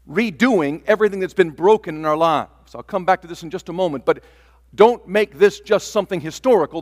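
An older male pastor delivering a sermon to his congregation, earnest and deliberate, leaning on words by drawing them out. His voice sits fairly high for a man. The sound carries the long reverb of a church sanctuary. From Taiwan. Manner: earnest preaching with drawn-out emphatic words.

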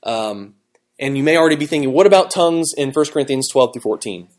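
A man's voice: medium pitch, expressive, fast talker. A man teaching an evening Bible class to a live group, talking it through in a conversational way, often checking in with "okay" and "right.". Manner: conversational, thinking aloud with fillers and checking okay.

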